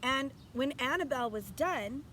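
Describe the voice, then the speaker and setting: A woman's voice: sits high, expressive, moderate pace, fairly bright tone, a little hiss or hum. A woman reading a storybook aloud expressively as a teacher, stopping to put instructive questions to young listeners.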